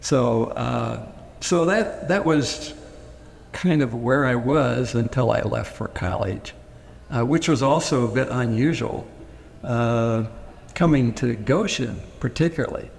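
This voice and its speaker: A man's voice: medium pitch, slow pace, gravelly. A man from Pennsylvania whose first language is Pennsylvania Dutch, recalling his upbringing in an oral history interview. He talks hesitantly, with many fillers and restarts. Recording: long reverb with faint noise.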